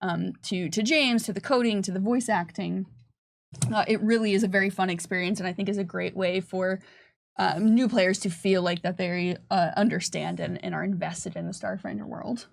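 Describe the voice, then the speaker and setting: A woman's voice: mid-range voice, fairly even intonation, moderate pace, creaky. A woman chatting casually as a game developer on a livestream, explaining things with enthusiasm. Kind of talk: casual chat.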